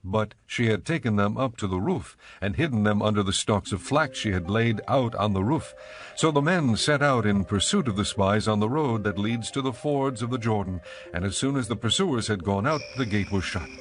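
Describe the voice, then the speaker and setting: A man narrating scripture from a script in a measured, formal way. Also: on the low side, expressive. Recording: music under the voice.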